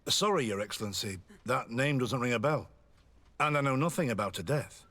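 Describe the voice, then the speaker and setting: A man's voice: medium pitch, expressive, a little fast, fairly bright tone. A man playing a dutiful, formal lieutenant of a frontier company in a video game. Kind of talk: video game dialogue acting.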